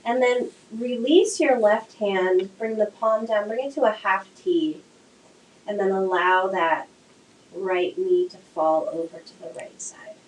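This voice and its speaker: A woman teaching a slow yoga class with gentle, soothing cues, her voice a little nasal from a cold. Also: medium pitch, fairly even intonation. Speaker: yoga instructor. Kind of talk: guided yoga class instruction. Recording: echoey room, faint noise.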